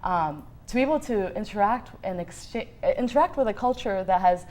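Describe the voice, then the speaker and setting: A woman's voice: medium pitch, expressive, brisk, a little mellow. A Sudanese American woman from Sudan answering interview questions conversationally as a guest. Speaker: fellowship guest, marketing professional.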